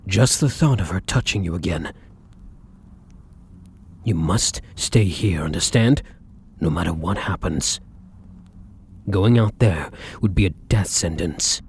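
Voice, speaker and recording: A man playing a devoted, courtly vampire lover in an ASMR roleplay, with a husky, breathy voice and formal, flowery endearments. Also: low-pitched, animated, slow, pauses often, slight background noise, full character voice. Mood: grave, urgent. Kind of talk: ASMR roleplay, scripted romantic monologue.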